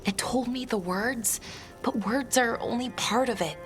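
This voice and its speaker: A woman's voice: a little high, measured pace, close to an everyday voice. A woman acting a hesitant, grieving young elf, music behind. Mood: wistful, uncertain. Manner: rambling, hesitant, self-correcting. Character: grieving young elf woman, earnest, scholarly, unsure of herself. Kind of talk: video game dialogue acting. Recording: clear game music behind the voice. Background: game music.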